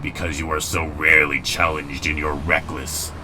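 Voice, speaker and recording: A super deep robot warlord, stern and formal, weary and proud, comic dub with music behind. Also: male voice, little pitch movement. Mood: cold, menacing. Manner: formal, stern, deliberate phrasing. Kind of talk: dramatic comic dub acting.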